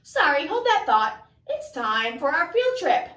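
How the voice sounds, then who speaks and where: A woman voicing a cheerful, adventurous schoolteacher in a storybook read-aloud, with enthusiastic, drawn-out emphatic phrases. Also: high voice, very animated, a bit bright, heavily acted. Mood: bright, eager. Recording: echoey room, distant mic.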